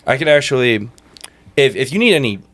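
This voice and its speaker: A man's voice: medium pitch, big pitch swings, brisk, a bit bright, no echo, voice pushed up. A man playing a nervous, polite clinic worker on his first day, hesitant and rambling on a prank call.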